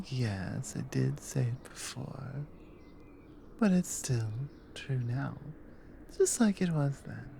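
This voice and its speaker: A woman playing a devoted, protective Nordic adventurer wife in an intimate ASMR roleplay, drowsy and tender, breathy and often slipping into a whisper. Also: a bass voice, a little hiss or hum, full character voice. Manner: slow, soft, tender with pauses.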